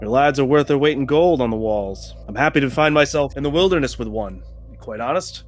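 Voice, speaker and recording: A terse frontier soldier played by a man in a tabletop role-playing game. He speaks formally and with command, in a mid-pitched voice over faint music. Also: a little fast, natural voice. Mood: commanding, steady.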